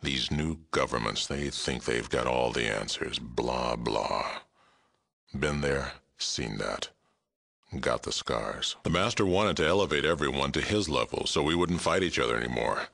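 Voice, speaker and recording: Very deep, gravelly mutant sheriff. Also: male voice, expressive, measured pace, fairly bright tone, full character voice. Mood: reflective, world-weary. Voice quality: gravelly, rumbling. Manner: blunt, terse, dry delivery. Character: gruff, aging super mutant sheriff, world-weary but fair-minded. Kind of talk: video game character dialogue.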